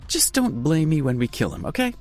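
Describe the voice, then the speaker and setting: A man's voice: on the high side, very animated, brisk, soft background music, pitched above the performer's own voice. A man voicing a ruthless, arrogant young male heir who talks in a cold, dismissive way. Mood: cold, scornful.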